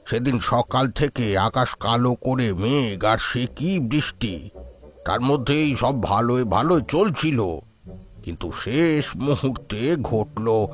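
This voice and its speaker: An actor playing a nervous, irritable old man with a halting, deferential manner in a horror audio drama. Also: mid-range voice. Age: older. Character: nervous, irritable old Bengali man, reverent and easily frightened. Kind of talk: audio horror story acting.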